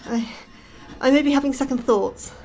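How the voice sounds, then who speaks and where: A woman's voice: high-pitched, normal speed, a little mellow. A woman playing an anxious, wary scientist newly recruited in a fiction podcast, speaking hesitantly and full of uncertain questions. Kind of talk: audio fiction podcast acting.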